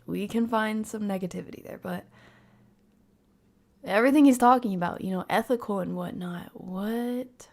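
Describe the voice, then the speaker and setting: Casual woman streamer with creaky voice. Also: mid-range voice, normal speed, a little mellow. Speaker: streamer, host. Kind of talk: casual livestream reaction commentary, reading chat.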